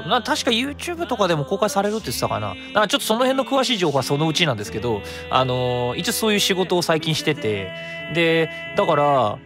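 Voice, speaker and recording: A man hosting a solo radio show in casual talk, chatty and rambling, thinking aloud and correcting himself as he goes. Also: fairly high pitch. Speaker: radio host. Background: background music.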